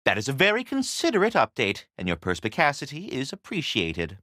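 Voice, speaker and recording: A man's voice: mid-range voice, wildly swooping pitch, fairly quick, pushed and bright, no room sound, studio quality, heavily acted. A man voicing a polite, fussy magical squirrel from a video game, speaking in courtly, wordy, butler-like formality. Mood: genial, measured. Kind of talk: theatrical video game character dialogue.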